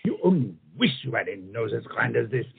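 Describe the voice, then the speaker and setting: A man's voice: medium pitch, big pitch swings, fairly quick, creaky, slightly rough, heavily acted. An actor playing a pompous, witty swordsman in a comic stage play, theatrical and mocking. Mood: indignant, scornful. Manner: theatrical, mocking, peppers speech with French phrases. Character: pompous, flamboyant big-nosed French swordsman, witty and proud. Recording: muffled, tinny stage play recording.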